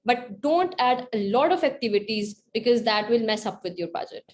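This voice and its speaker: Woman on a panel explaining stuff, kinda high voice, goes back over her points. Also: lively intonation, moderate pace. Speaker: panelist, grant recipient. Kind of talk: panel discussion, explanatory advice.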